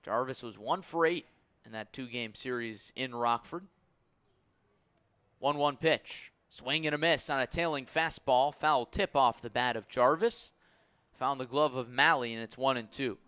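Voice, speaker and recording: A man works as a baseball announcer on live radio, calling the game pitch by pitch. His voice sits at a medium pitch with a bright, forceful edge. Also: unhurried, clean tone. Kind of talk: live radio sports commentary.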